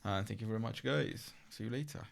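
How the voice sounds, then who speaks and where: Low gravelly-voiced man hosting a podcast. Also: quick pace. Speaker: podcast host. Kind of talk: casual interview chat.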